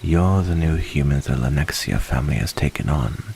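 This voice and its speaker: Casting: man to play mysterious soft-mannered stranger, very deep creaky voice, soothing and curious, ASMR audio drama. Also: moderate pace, a little hiss or hum. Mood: curious, intrigued. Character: gentle, curious male stranger lurking near the mansion. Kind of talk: ASMR roleplay acting.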